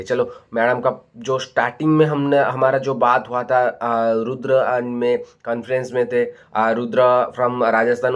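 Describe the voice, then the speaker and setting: A man talks informally to his viewers in an exposé style. His voice sits at an average pitch and he often checks that listeners follow. The recording is dull and lo-fi. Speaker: vlogger. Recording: dull lo-fi audio.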